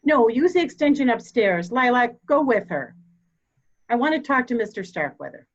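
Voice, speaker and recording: A woman acting a steely, dying elderly mother in a mystery drama reading, speaking formally and deliberately. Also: a little high, very animated, dull audio. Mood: commanding, controlled.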